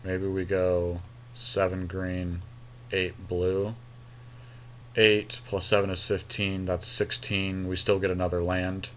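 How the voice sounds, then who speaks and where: A man co-hosting a podcast, chatting casually and informally as he deliberates aloud over a card game draft. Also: deep voice, completely flat delivery, a bit bright, a little hiss or hum. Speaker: podcast co-host.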